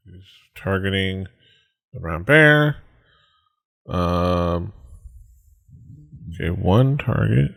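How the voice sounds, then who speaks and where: A man running a tabletop game as game master, pausing often to look up rules. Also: low-pitched, lively intonation, gravelly, fairly bright tone. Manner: hesitant, lots of ums while looking up rules.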